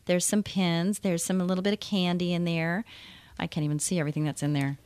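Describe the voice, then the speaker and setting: Chatty, low-voiced woman radio host. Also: level tone, fairly quick, a little mellow, no echo. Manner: enthusiastic, chatty, laughs easily. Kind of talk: radio interview, call-in show.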